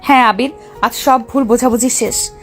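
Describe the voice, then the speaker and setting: A young bride, played by a woman, loving yet feisty, in an audio romance. Her voice sits very high, over soft background music. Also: fairly even intonation, normal speed, close to an everyday voice. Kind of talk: audio love story acting.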